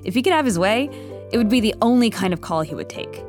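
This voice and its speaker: Expressive woman narrating an audio drama. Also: a little high, quick pace, over music.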